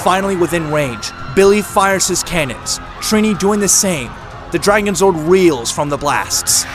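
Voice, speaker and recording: Narrator, male: slightly high voice, dramatic action storytelling over very loud music and effects. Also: lively intonation, a little slow.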